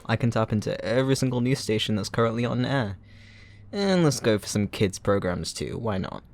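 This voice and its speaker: A man's voice: lowish voice, animated, fairly quick, gravelly, a little hiss or hum. A man playing a gloating, sarcastic supervillain who taunts a captive hero in a mocking, playful, theatrical way, in a roleplay audio drama.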